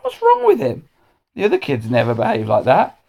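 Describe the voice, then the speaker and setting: A man's voice: mid-range voice, wildly swooping pitch, fairly quick, vocal fry, a little mellow, full character voice. A man voicing a flustered, fussy female school dinner lady who complains in exasperation, for a storybook. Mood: exasperated. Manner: exclamatory, exasperated.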